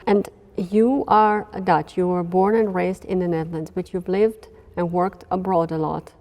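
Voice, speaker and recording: A woman's voice: on the low side, steady tone, a little slow, darkish tone, big-room sound. A woman interviewer asking friendly, conversational questions in a car museum.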